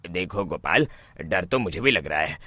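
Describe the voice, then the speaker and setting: A man's voice: deep voice, lively intonation, normal speed, sharp tone, no echo, clean studio sound, heavily acted. A man voicing a timid young groom-to-be in a cartoon, nervous and pleading. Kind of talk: cartoon voice acting.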